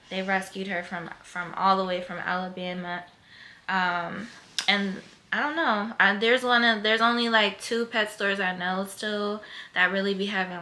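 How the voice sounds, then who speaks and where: Bright, chatty Philly woman. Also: medium pitch. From Philadelphia, USA. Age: 20s. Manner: rambling, chatty, lots of "like" and "um"; blunt, heated and cursing when ranting. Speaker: vlogger. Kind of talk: casual chat to camera.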